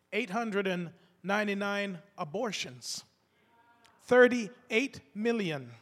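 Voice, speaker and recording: A man preaching a sermon to his congregation, his voice gravelly from a cold, fervent and calling out to the listeners. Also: high-pitched, fairly bright tone. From United States. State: has a cold. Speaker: pastor. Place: church sanctuary. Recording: echoey hall reverb.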